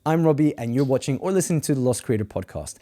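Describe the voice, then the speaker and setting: Male podcast host, relaxed and joking, mid-range voice, stumbles over his words. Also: mid-range voice, fast talker. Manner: chatty, jokey, with fillers and restarts. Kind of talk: casual interview chat.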